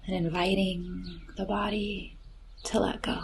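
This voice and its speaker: A woman guiding a relaxation meditation in a gentle, soothing, often breathy voice with long unhurried phrases. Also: medium pitch, little pitch movement, a little hiss or hum. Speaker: yoga nidra meditation guide. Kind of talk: slow guided relaxation meditation. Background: chirping birds, nature ambience.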